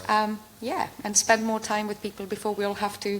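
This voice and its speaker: A woman whose first language is Greek, answering interview questions as a guest scholar at a live recording, speaking in a thoughtful, measured way that often trails off softly. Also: medium pitch, completely flat delivery, a little hiss or hum. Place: conference room before a live audience.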